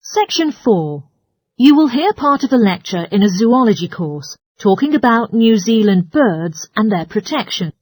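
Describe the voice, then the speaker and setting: A woman reading scripted listening test dialogue and instructions in an articulate, orderly way, with a slightly husky voice. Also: medium pitch, very animated, a little slow, darkish tone, dry recording, low-quality recording. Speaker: listening test voice actor and announcer.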